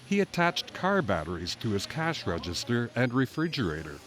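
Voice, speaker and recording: A man's voice: medium pitch, very animated, normal speed, a crowd in the background. A man narrating a scripted television news report as a correspondent.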